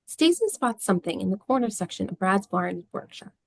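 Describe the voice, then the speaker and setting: Creaky-voiced woman narrating a script. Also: medium pitch, very animated, brisk, no echo. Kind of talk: scripted narration of stage directions.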